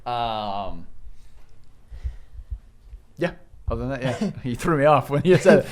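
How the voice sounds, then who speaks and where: A man's voice: mid-range voice, animated, measured pace. A man working as game master, narrating a tabletop game casually and bantering playfully with his players.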